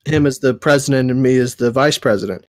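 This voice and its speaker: A young man, his voice a bit nasal and sniffly from a cold. He talks in a middle pitch, rambling and correcting himself as he tells his story in casual conversation. The sound is dry and close. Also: quick pace, dry recording. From Southern United States. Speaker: podcast co-host. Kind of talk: casual chat.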